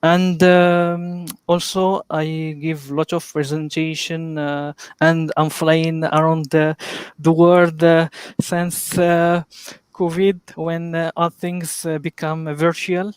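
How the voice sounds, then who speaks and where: Give me a man, Arabic his first language, with a clear smooth voice in a dry room, pausing on "uh" between phrases. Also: on the high side, little pitch movement, no echo. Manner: halting, with very frequent "uh" fillers. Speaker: guest speaker. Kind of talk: live online interview.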